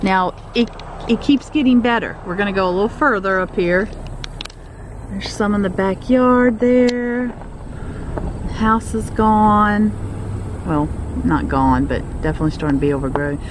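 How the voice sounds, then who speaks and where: Excitable woman gushing on a road trip. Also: mid-range voice, unhurried, background noise. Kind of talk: casual travel vlog narration. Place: inside a moving car.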